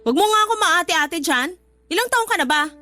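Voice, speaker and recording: A scolding older sister in a radio drama, very high and piercingly bright. She is thirty, blunt and sarcastic, always ready to confront. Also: lively intonation, a little fast, full character voice. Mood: angry, sarcastic. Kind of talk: Tagalog radio drama acting.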